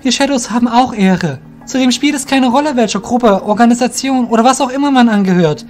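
A man's voice: very high voice, a little fast, darkish tone, faint music behind, pitched above the performer's own voice. A man playing an earnest, proud young rogue and magic novice in a fantasy audio drama, speaking in a firm, forthright way. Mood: firm, indignant. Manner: firm, friendly, principled, forthright.

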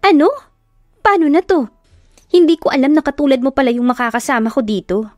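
A woman voicing a feisty, hot-tempered young woman who scolds and shouts in a sharp, quick voice. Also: high-pitched, lively intonation, no room sound, faint music behind, voice pushed up. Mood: irritated.

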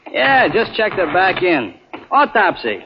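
A man's voice: high voice, huge pitch swings, a little slow, a little hiss or hum, full character voice. A man playing a genial, morbid morgue attendant who rambles familiarly and mutters to himself, in 1940s radio acting style. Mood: chatty, morbid. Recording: dull old 1940s radio recording.

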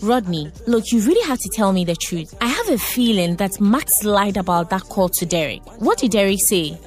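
A woman's voice: medium pitch, lively intonation, moderate pace, faint music behind, close to an everyday voice. An actress with a Ghanaian accent playing a shrewd, insistent young woman on a phone call in an audio drama. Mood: assertive, bargaining.

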